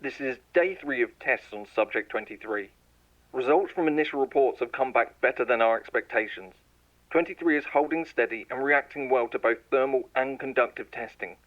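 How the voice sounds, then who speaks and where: A man playing a scientist dictating lab test logs in a measured, clinical way, in a scripted horror audio story. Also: medium pitch, lo-fi sound. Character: male lab scientist logging tests, growing frightened.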